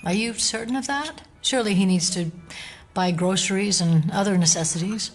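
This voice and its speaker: An actress playing a composed, skeptical FBI agent with a measured, polite delivery in an audio drama. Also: female voice, mid-range voice, faint music behind, lightly acted. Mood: polite, probing. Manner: measured, polite, matter-of-fact.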